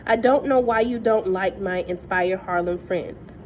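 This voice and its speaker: A woman's voice: mid-range voice, unhurried, slight background noise, natural voice. Lady reading a self-assured teen activist girl, earnest, matter-of-fact, plain voice.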